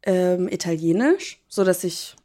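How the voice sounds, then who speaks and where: Bilingual woman co-hosting a podcast, lowish voice, playful and laughing a lot. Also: lively intonation, a little slow, mellow. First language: bilingual English and German. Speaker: podcast co-host. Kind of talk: casual chat.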